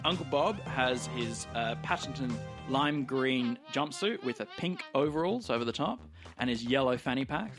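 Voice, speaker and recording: A man playing a tabletop game, casually improvising descriptions of his character with halting, drawn-out phrasing. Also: mid-range voice, expressive, a bit bright. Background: music.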